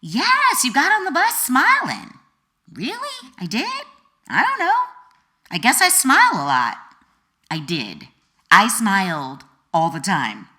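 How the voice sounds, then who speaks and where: An American woman reading her memoir as an author, with dramatic, deliberate phrasing and acted-out dialogue. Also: a little high, huge pitch swings, bright, forceful tone. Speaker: author reading own book. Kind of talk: expressive audiobook memoir narration.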